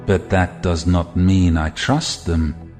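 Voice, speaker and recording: Severe vampire counsellor, acted by a man: very low, slow, solemn, ringing in long reverb. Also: steady tone, darkish tone. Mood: grave. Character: grave vampire adviser living among humans. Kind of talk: theatrical audio drama acting. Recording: long hall reverb, music behind.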